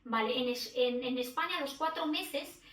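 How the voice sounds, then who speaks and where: A woman's voice: sits high, normal speed, fairly bright tone. A middle-aged woman from Romania, a guest in a podcast conversation, talking in a reflective way with many restarts and fillers. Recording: echoey room, distant mic.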